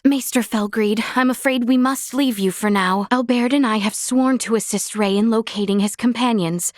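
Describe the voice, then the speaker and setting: A gracious young noblewoman from a fantasy video game, acted by a woman. Her voice sits on the high side and is recorded very dry and close. She speaks with formal, archaic courtesy and an earnest, resolute temper. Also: no echo, natural voice.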